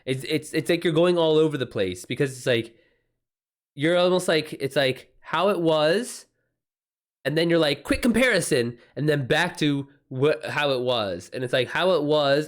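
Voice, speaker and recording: A man's voice: a little high, lively intonation, normal speed. A man hosting a livestream about writing, chatting casually and unscripted, thinking aloud with informal slang. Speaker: livestream host and author giving writing advice.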